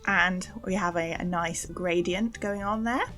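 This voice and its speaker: A young woman narrates a craft video in a fairly low voice. She is chatty and informal, a little self-deprecating as she explains her steps. Soft music plays behind her. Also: measured pace. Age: young adult. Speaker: host of an art channel. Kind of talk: casual craft voice-over narration. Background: faint background music.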